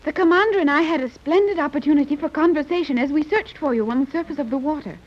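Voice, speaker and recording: A woman's voice: sits high, fast, lightly acted. A woman playing a self-assured, proper young daughter in a 1930s radio serial, speaking formally with precise diction. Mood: formal explaining. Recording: dull old 1930s radio recording.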